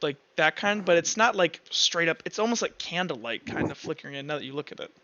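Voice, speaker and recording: High-pitched man, sharp bright tone, halting casual game master, improvised description, slightly dull lo-fi sound. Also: expressive, no room sound.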